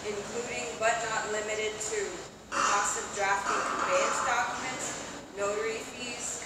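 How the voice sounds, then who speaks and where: A young adult woman, a foreclosure auction commissioner, reading legal sale terms aloud in a formal, measured way outdoors in a covered plaza. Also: mid-range voice, very noisy. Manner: formal, measured, reads from papers. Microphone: far camera on a tripod. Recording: echoey distant outdoor camera audio.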